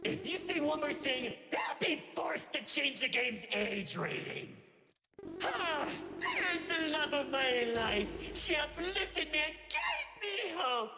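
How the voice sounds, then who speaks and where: An actor playing a flamboyant, melodramatic villain who narrates a video game with pompous sarcasm. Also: male voice, very high voice, very animated, slow, full character voice. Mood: lovelorn, melodramatic. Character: flamboyant, melodramatic, mocking villainous narrator, theatrical and self-absorbed. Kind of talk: theatrical game voice acting with comic rants. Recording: echoey hall reverb with faint music.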